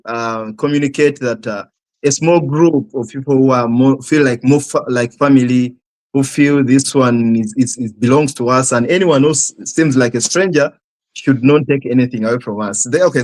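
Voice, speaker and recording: Ugandan guy hosting a panel, halting and thoughtful, lots of uh's. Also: medium pitch, poor audio. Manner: halting, many fillers, thoughtful. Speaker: host. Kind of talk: hosting a discussion.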